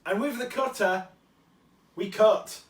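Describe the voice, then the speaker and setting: A man's voice: sits high, takes it slowly, heavy background noise. Man with a cold, chatty. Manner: chatty, enthusiastic, self-correcting asides. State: has a cold. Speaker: toy collector vlogger. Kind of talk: casual unboxing chat. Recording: roomy, distant mic.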